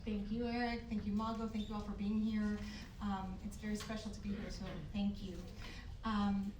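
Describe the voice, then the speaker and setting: A woman's voice: mid-range voice, little pitch movement, moderate pace. Woman storyteller in an echoey hall. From Argentina. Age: 40s. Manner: conversational storytelling with many "um"s. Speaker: author speaker. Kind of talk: talk to a live audience. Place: event hall. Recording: echoey hall, mic from a distance, audible noise.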